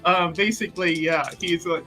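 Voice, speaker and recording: A man's voice: on the high side, steady tone, normal speed, sharp tone, soft background music. A man casually playing a tabletop roleplaying game, describing his character's antics in a playful, theatrical way.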